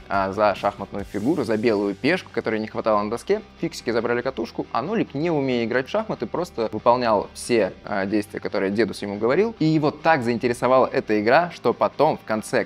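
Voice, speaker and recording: A man presenting an educational video as a coach, retelling and explaining stories. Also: medium pitch. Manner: explanatory storytelling.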